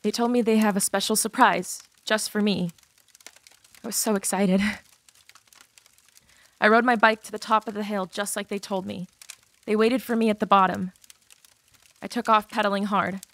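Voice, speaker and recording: A woman's voice: mid-range voice, fairly even intonation, moderate pace, pauses often, no room sound, close to an everyday voice. A woman playing an abused teenage girl confessing a painful past in a dramatized audiobook. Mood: pained recounting.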